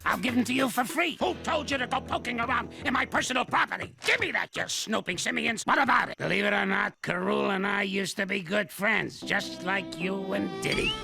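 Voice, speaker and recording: An actor playing a grumpy elderly male ape inventor with a gravelly, creaky old voice, gruff and bossy. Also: high-pitched, heavily acted. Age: older. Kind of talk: cartoon voice acting. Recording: music playing underneath.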